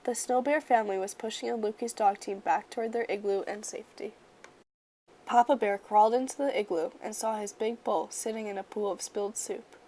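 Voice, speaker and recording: A woman storyteller reads a children's picture book aloud. Her delivery is measured and expressive, with medium pitch, shaped for young listeners.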